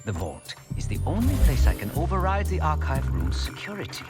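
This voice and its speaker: A man's voice: fairly high pitch, huge pitch swings, brisk. A man acting a precise, composed tech specialist spy in a video game cutscene. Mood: precise, serious. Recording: loud music bed behind the voice.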